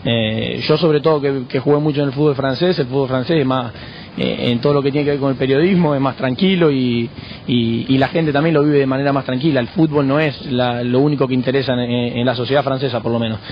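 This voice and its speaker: A footballer from Argentina with an Argentine accent answering questions on a radio show, measured and halting with many restarts. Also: male voice, medium pitch, steady tone. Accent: Argentine Spanish. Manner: measured, frequent hesitations and restarts. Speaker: footballer guest. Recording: muffled radio broadcast with faint noise.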